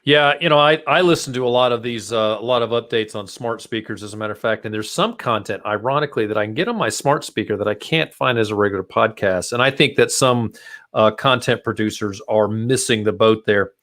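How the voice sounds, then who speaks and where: A man's voice: fairly low pitch, fairly quick, a bit bright. A man hosting a casual marketing podcast, chatting informally and speaking straight to his listeners. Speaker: podcast host.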